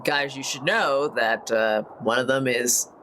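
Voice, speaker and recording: A woman's voice: a bass voice, a little slow, fairly bright tone, a little hiss or hum. A woman chatting casually as a player in a tabletop role-playing game. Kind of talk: casual chat.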